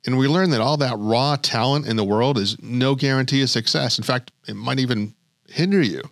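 Wry male host narrating, mid-pitched. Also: normal speed, gravelly, no room sound, clean studio sound. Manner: light, wry storytelling. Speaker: podcast host. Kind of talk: scripted narration.

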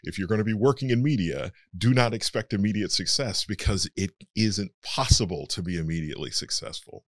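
A man's voice: lowish voice, a little fast, few pauses, no room sound, studio quality. A male voice actor being interviewed, explaining his trade in a frank, matter-of-fact conversational way. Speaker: voice actor guest.

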